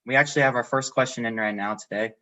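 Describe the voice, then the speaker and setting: Bright-voiced teen boy hosting. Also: medium pitch, fairly even intonation, lo-fi sound. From South Dakota. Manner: quick, halting, with restarts. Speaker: host of a live panel. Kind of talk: casual live Q and A moderating.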